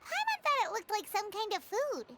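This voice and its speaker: A woman voicing a tiny, excitable, childlike fairy companion in an anime-style video game, squeaky and exclamatory. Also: moderate pace, heavy background noise, exaggerated voice. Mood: curious. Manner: speaks of herself in third person, exclamatory. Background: game music.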